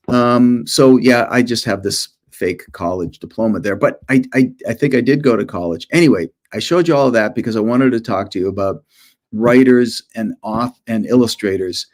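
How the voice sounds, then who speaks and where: A man's voice: mid-range voice, animated, normal speed, darkish tone. Man giving an informal author talk. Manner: self-deprecating humor. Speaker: children's author and illustrator. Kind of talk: informal author presentation for students.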